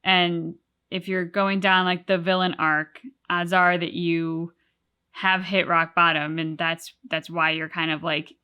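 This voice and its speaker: A woman's voice: lowish voice, little pitch movement, a little slow, clean tone, dull audio. A woman co-hosting a game reaction show, chatting casually and thoughtfully about the story, with frequent "like" fillers. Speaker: co-host of a game reaction channel.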